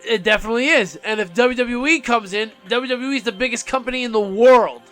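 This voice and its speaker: A man's voice: very high-pitched, fairly bright tone, soft background music. A man co-hosting a casual wrestling podcast, speaking with confident emphasis. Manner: confident, emphatic, quick to laugh. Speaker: podcast co-host.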